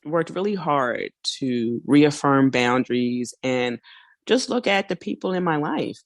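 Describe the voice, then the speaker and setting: A woman hosting a casual solo podcast, chatty and a little hoarse, laughing now and then and acting out small quoted asides. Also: sits low, lively intonation, measured pace, no room sound. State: slightly hoarse. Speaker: podcast host.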